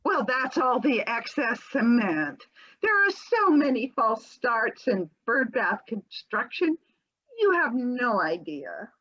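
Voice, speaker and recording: Woman as high, fluttery, evasive housewife. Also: very animated, slow, fairly bright tone, muffled recording, heavily acted. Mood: breezy, evasive. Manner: over-polite, theatrical. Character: fluttery, evasive, genteel housewife and murder suspect. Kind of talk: comic readers theater scene.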